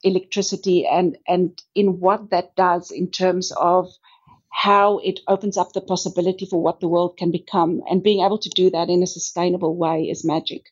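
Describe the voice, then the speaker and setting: A woman from South Africa with a South African accent, a guest speaking enthusiastically in a podcast interview with thoughtful pauses. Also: lowish voice, flat delivery, husky, dull audio. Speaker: guest, energy executive.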